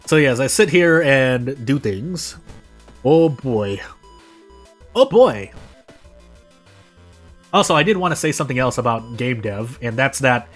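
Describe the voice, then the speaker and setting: A man's voice: medium pitch, expressive, unhurried, pauses often, a bit bright. An American man giving casual live commentary as a game streamer, rambling and often correcting himself as he goes. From United States. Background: music.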